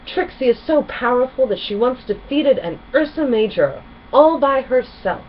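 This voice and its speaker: A woman voicing a brash, scheming female griffin who shouts like a carnival barker in a storybook. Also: high-pitched, expressive, normal speed, muffled recording, a little hiss or hum, voice pushed up. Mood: brash hawking. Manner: loud carnival barker delivery.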